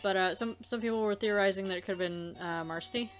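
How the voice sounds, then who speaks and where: A woman's voice: mid-range voice, fairly quick, soft background music. A woman hosting a let's play, chatting casually in a rambling way that often loses its thread. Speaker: let's play host and amateur voice dubber. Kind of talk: casual rambling gameplay chat.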